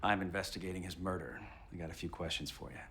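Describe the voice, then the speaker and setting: An actor playing a needling 1920s police detective, sly and casual in manner. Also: male voice, sits low, flat delivery, fast talker, sharp tone, a little hiss or hum. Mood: breezy, probing. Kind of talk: television period drama acting.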